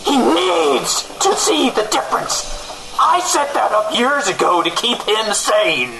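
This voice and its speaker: A man's voice: very high-pitched, a little slow, gravelly, heavy background noise, exaggerated voice. A man playing a vain, pretentious, easily rattled rich co-host bickering on a comedy radio show. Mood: panicked, indignant. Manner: pompous, excitable, bickering. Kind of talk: improvised-sounding comedy radio banter.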